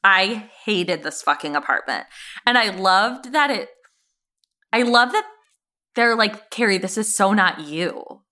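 A woman's voice: mid-range voice, animated, unhurried, pushed and bright. A woman co-hosting a podcast in casual, opinionated chat, blunt and emphatic, repeating phrases and swearing freely. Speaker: podcast co-host.

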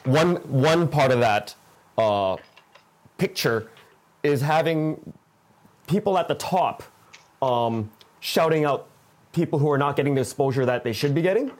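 A man speaking as a podcast creator in an informal roundtable, halting and often restarting his sentences. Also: on the high side, lively intonation. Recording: noisy voice track with clicks.

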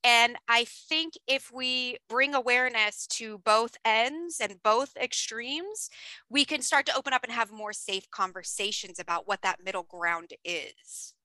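A woman's voice: on the high side, measured pace, sharp tone, no room sound, rough recording. A woman guest co-host on a podcast who speaks in an upbeat, enthusiastic, articulate way. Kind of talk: casual podcast conversation.

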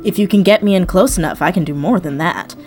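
A woman's voice: fairly low pitch, fast, darkish tone, faint music behind, lightly acted. A woman playing a confident hacker in an audio drama, speaking casually with assured, wry delivery. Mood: confident, businesslike. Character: confident, wry female hacker on a heist crew.

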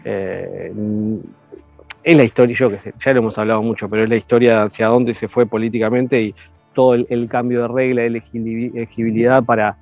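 A man giving measured, analytical commentary in a casual chat, with frequent hesitations. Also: mid-range voice, no room sound. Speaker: rugby podcast guest. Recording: dry, dull lo-fi sound.

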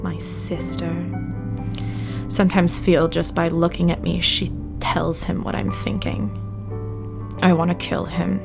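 An actress performs a bitter young 1950s wife in an audio drama diary monologue. Her brooding delivery is very slow and fairly flat, full of heavy pauses, with music playing clearly behind her. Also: on the low side. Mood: cold loathing. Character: bitter, haunted nineteen-year-old 1950s wife reciting her diary.

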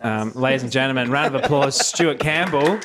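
A man's voice: mid-range voice, fairly quick, heavy background noise. A man hosting a casual, informal interview, chatting loosely with his guests. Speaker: host.

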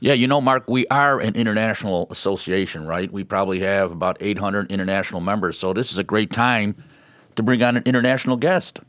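Casual man with a low voice. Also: a little fast, fairly bright tone, no room sound. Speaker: podcast co-host. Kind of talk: casual conversational interviewing.

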